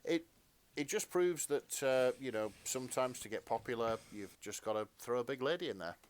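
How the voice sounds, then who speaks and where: A man co-hosting a podcast in casual chat, with a hesitant, halting delivery. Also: medium pitch, lively intonation. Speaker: podcast co-host.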